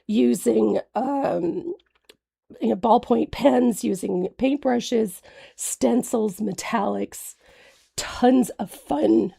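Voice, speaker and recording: Hoarse woman doing a live craft demo, gravelly and kinda husky, higher voice, chatty w viewers. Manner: chatty, greets viewers by name, warm fillers. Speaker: craft painting instructor.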